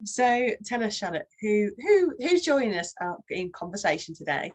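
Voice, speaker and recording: A woman's voice: mid-range voice, very animated, normal speed, a little mellow. A woman co-hosting a podcast, asking questions with stumbling repeats. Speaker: podcast co-host. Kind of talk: podcast conversation.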